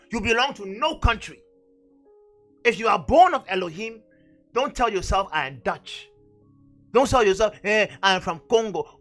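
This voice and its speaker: The man you'd hear on a fiery online Bible show, pressing each point home in a high, forceful voice. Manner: emphatic, exhorting, repeats phrases for effect. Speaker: preacher and teacher on a religious show. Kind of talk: fervent Bible teaching.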